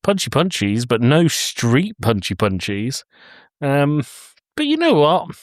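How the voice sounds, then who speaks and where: A man's voice: mid-range voice, wildly swooping pitch, slow, no room sound, studio quality. A man co-hosting a casual film review podcast in a jokey, blunt, emphatic way. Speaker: podcast co-host.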